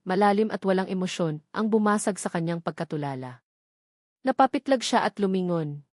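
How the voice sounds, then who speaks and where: A woman's voice: medium pitch, a little mellow, no echo, clean studio sound. A woman reading a scripted, dramatic love story as its narrator.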